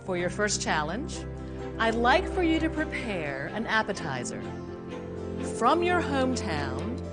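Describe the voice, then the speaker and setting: An actress playing a gracious host of a cooking competition, announcing in a formal, measured way. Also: female voice, on the high side, big pitch swings. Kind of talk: film dialogue. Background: loud background music.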